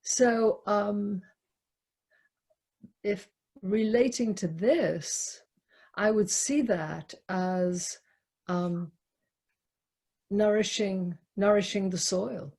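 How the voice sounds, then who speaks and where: A woman's voice: mid-range voice, very slow, creaky, pauses often, darkish tone, slightly rough. A woman leading a reflective discussion with an audience, speaking hesitantly and repeating words and phrases for emphasis.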